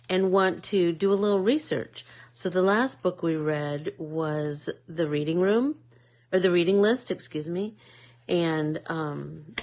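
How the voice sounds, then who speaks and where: A woman's voice: low-pitched, a little mellow. A woman with a Midwest accent hosting a live art class, chatting casually and rambling with lots of fillers. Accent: American Midwest. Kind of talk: casual chat while painting.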